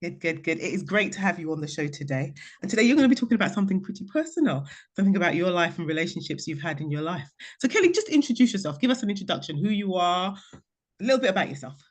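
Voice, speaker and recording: Lively, fast-talking woman podcast host. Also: fairly low pitch. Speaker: podcast host interviewing a guest. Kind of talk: conversational interview questioning.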